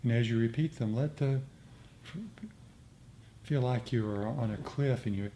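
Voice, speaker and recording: A man gently instructing a meditation group in an informal, conversational way. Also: mid-range voice, moderate pace. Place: indoor meditation group meeting. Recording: clearly noisy room recording.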